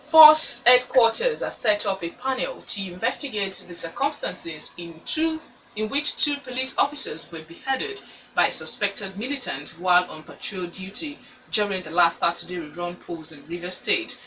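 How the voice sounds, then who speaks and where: Woman, Nigerian accent, newsreader, scripted delivery, bright tone, slightly distant mic, faint background noise. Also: on the low side, expressive, moderate pace. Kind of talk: scripted news reading.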